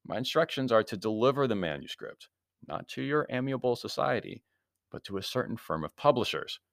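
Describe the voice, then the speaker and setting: A man voicing a flippant, unflappable gentleman adventurer in a storybook, speaking with breezy, mock-polite sarcasm. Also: mid-range voice, animated, brisk, creaky, dry recording, studio quality, voice pushed up.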